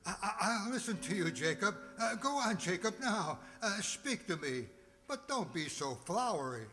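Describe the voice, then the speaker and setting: A man playing a frightened, crotchety old miser in a radio play, stammering and fretting in a halting, theatrical way. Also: sits high, sing-song, sharp tone, exaggerated voice. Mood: anxious, placating. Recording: long reverb with music behind.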